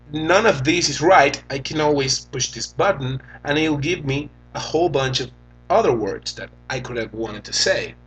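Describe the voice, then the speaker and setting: A young man from Venezuela with a Venezuelan Spanish accent, a native Spanish speaker, talking casually and haltingly as he demos and reviews a phone keyboard. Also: medium pitch, fairly bright tone, husky, poor audio. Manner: slow, halting, thinks aloud while typing. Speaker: tech reviewer.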